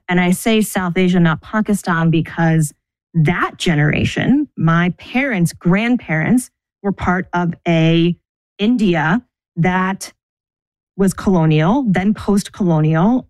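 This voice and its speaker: A middle-aged woman from the United States, talking thoughtfully about her upbringing as an interview guest, pausing and restarting as she thinks. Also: fairly low pitch, a little mellow, no echo, rough recording. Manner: thoughtful, measured, restarts mid-sentence. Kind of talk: reflective personal interview.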